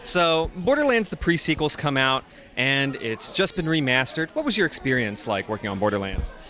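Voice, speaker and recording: A man's voice: medium pitch, big pitch swings, normal speed, pushed and bright. A man interviewing a guest at a convention, upbeat and asking short prepared questions. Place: outdoors at a convention.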